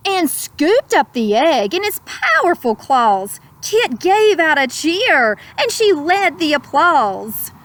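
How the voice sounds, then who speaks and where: A woman reads a children's story aloud at an unhurried, slow pace. Her voice is high and expressive, dramatizing the lines and adding simple asides for young listeners. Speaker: storybook reader.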